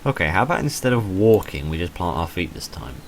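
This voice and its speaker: A man's voice: sits low, sing-song, a little fast, vocal fry, slight background noise. A man reading visual novel game text aloud, mixed with casual, self-deprecating commentary.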